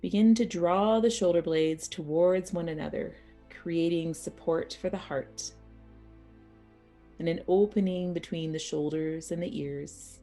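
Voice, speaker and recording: A woman teaching yoga nidra as a guided meditation, speaking soothingly and unhurriedly in short phrases with pauses. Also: on the low side, warm tone, soft background music.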